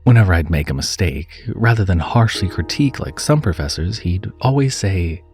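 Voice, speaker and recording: A man narrating a first-person horror story in a measured, dramatic and expressive way, dropping to a hush at times. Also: sits low. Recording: music under the voice. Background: background music.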